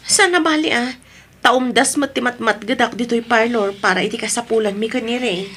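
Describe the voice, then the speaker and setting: A stubborn, lovestruck Filipino wife in an audio drama. Her voice sits high with a slightly rough, husky edge. She speaks emotionally, pleading for her forbidden romance. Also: normal speed, a bit bright. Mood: insistent. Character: lovestruck married woman defending her affair, pleading and stubborn.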